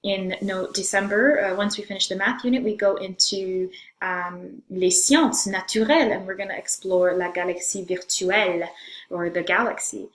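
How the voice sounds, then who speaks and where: Middle-aged female French teacher from Zambia, informal course presentation, halting phrasing, roomy echoing sound. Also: medium pitch, steady tone. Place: room in a house, indoors. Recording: echoey room sound.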